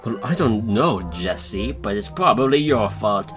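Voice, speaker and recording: A man's voice: lowish voice, animated, unhurried, fairly bright tone, soft background music, pitched below the performer's own voice. A man voicing a bickering male cartoon villain sidekick with a dry manner, in an improvised parody dub.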